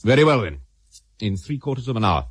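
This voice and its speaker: An imperious Soviet major in a radio drama. The voice is raspy and medium in pitch, pressing and urgent, with emphatic rises and falls. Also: male voice, sing-song, brisk. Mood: urgent, insistent.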